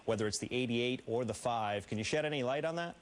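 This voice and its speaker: A man's voice: medium pitch, fast talker. A man hosting a sports news program, speaking in a polished presenter's delivery as he introduces and interviews guests. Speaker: sports news show host.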